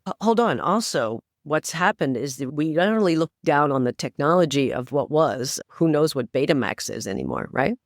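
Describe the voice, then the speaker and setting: A woman's voice: sits low, animated, moderate pace, hardly any pauses, no echo, clean studio sound. A woman in her sixties, a podcast guest, speaking emphatically and persuasively as she urges listeners on. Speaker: podcast guest, inclusive AI advocate. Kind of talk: conversational interview.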